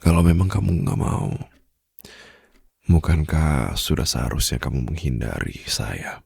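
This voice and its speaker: A man playing a smitten, suave mafia boss in an intimate ASMR romantic roleplay, teasing softly and possessively close to the microphone in a low, gravelly, breathy voice. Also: very animated, no room sound, full character voice. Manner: slow, soft, flirtatious teasing with formal wording. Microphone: close studio microphone.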